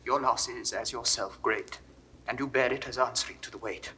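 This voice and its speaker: An actor playing a sympathetic Roman officer in a classical verse recording, speaking softly and tenderly, often near a whisper. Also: male voice, mid-range voice, normal speed, slight background noise, close to an everyday voice, poor audio.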